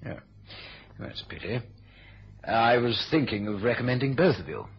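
A man playing a genial older British politician in a 1970s radio drama, urbane and polite with gentle hesitations and a husky voice. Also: mid-range voice, big pitch swings, normal speed. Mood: sly, genial. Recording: muffled, lo-fi old radio recording.

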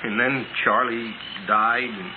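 An actor playing a frightened, cowardly outlaw who stammers and pleads in a 1950s Western radio drama. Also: male voice, medium pitch, steady tone, takes it slowly, lightly acted. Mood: pleading, frightened. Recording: lo-fi old radio, noisy background.

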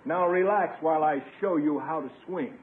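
An actor playing a smug, flirtatious athletic doctor in a 1950s radio sitcom, breezy, self-assured and condescending. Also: male voice, on the high side, moderate pace, natural voice. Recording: tinny, faintly noisy 1950s radio recording.

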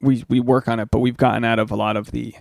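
Gravelly, crude man hosting a podcast. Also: medium pitch, quick pace, dry recording, studio quality. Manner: crude, rambling, animated storytelling. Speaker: podcast host. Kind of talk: casual comedic chat.

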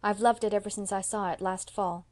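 A woman voicing a gentle, eager young woman college student. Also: medium pitch, fairly even intonation, fairly quick, close to an everyday voice. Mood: earnest.

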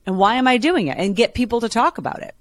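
A woman with a low voice co-hosting a podcast, telling stories in a lively, chatty way. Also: fast talker, no room sound. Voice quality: lower voice. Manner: chatty, animated storytelling. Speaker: podcast co-host. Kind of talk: casual chat.

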